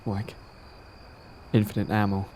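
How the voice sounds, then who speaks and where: Man acting as a mellow, lovestruck, coaxing villain. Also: on the low side, little pitch movement, unhurried, a little hiss or hum, lightly acted. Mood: hopeful, flirtatious.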